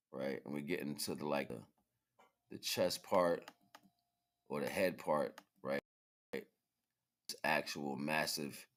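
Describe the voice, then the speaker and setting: A man with an extremely low voice talks as a livestream host. His delivery is casual and rambling, full of slang and halting fragments, as he gives informal spiritual commentary. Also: a bit bright.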